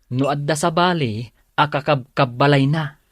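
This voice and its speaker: A man's voice: fairly high pitch, moderate pace, no echo, clean studio sound, close to an everyday voice. A man with an Ilocano accent acting as a sly friend who investigates for his pal in a radio drama. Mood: urging. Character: Magno, sly friend investigating a suspected cheater.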